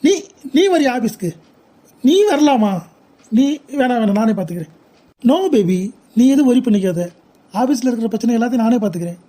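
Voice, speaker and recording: A woman's voice: a little high, animated, fairly quick, pauses often, mellow. A woman voicing an envious, evasive middle-aged husband in a cartoon parody, grumbling and whining. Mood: nervous, evasive.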